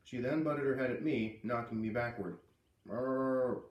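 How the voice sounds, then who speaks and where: A man's voice: mid-range voice, brisk, highs cut off. Man reading aloud, in an echoey room. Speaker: read-aloud storyteller. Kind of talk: expressive read-aloud of a children's novel. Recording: roomy echo, distant from mic.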